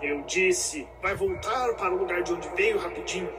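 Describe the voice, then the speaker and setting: Man as a wary, high-voiced gruff countryman. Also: moderate pace, natural voice. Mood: wary, defiant. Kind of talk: dramatised audiobook storytelling. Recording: loud music over voice.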